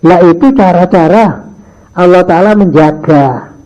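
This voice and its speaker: Older preacher, lo-fi tape. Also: male voice, sits high, unhurried, dark tone. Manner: mixes in Javanese phrases, acts out dialogues in his stories. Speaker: Islamic teacher of boarding school students. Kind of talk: morning religious lesson. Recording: dull lo-fi archive recording.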